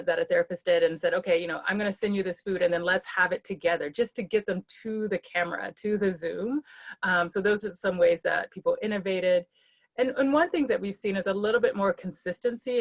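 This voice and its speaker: Woman, slightly low voice, earnest, frequent ums, webinar video call. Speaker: school-based behavioral health leader. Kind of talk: webinar panel talk.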